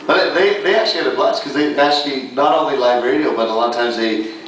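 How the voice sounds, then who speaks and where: A man's voice: mid-range voice, fast, a bit bright, faint music behind. A man, a voice actor on a panel, chatting casually with enthusiasm and praise for colleagues. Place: large convention room with audience. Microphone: far camera in the audience. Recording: echoey hall, distant camera, noisy crowd.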